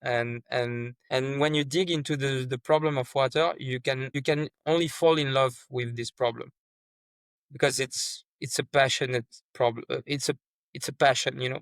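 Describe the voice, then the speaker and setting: A man's voice: medium pitch, fairly even intonation, moderate pace, no room sound. Brief: man, earnest guest, stumbles and restarts, dry close sound. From France. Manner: earnest, repeats words and restarts often. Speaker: startup founder, guest. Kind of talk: conversational interview.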